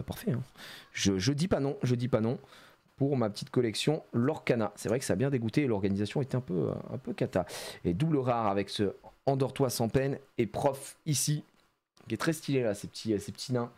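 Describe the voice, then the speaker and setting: A man chatting casually on a live stream as he opens trading card packs, joking around and laughing often. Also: lowish voice. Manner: animated and jokey, laughs often, plays on words.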